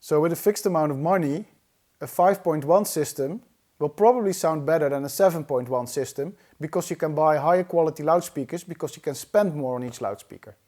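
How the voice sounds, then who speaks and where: A man giving an explanatory tutorial in a measured, didactic way, as an instructor. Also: on the high side, mellow. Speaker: audio-video instructor.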